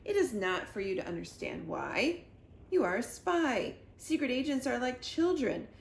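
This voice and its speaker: A woman voicing a smug, calm male spy villain from a children's storybook, measured and condescending. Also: a little high, pitched above the performer's own voice. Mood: cool, condescending. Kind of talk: children's storybook read-aloud. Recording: roomy echo with clear background noise.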